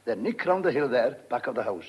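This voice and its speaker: A gruff country farmhand in a radio play. His voice is husky and rough, mid-pitched for a man. He talks in short, folksy phrases, shrewd and loyal. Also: very animated, fast, dull audio. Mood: confident, secretive. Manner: folksy, terse country speech. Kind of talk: radio drama acting.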